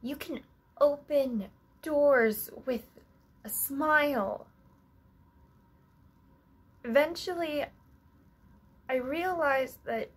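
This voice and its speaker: A woman performing a stage monologue as an earnest, anxious young female musician, wistful and self-doubting, halting and reflective with long pauses. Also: high-pitched.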